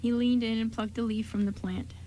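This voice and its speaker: A woman's voice: fairly high pitch, flat delivery, a little fast, mellow, a little hiss or hum, low-quality recording. A woman reading scripted story narration for an amateur radio drama.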